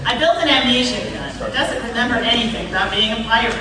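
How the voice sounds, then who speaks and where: Actress as exasperated young female inventor, comic radio play, high, animated, indignant, far-off echoey hall recording. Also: a crowd in the background, natural voice. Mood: reasoning. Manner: brisk, indignant. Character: clever, exasperated young adventure heroine and gadget inventor. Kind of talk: comic radio theatre acting. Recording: echoey hall, distant microphone.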